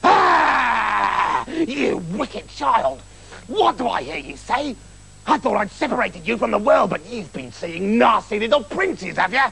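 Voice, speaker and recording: A man's voice: extremely high pitch, vocal fry, fairly bright tone, breathy edge, raised voice, pitched above the performer's own voice, exaggerated voice, low-quality recording. A man voicing a wicked, furious old witch with a screechy, scolding voice in a storybook tale. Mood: outraged. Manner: gloating, scolding, drawn-out cries.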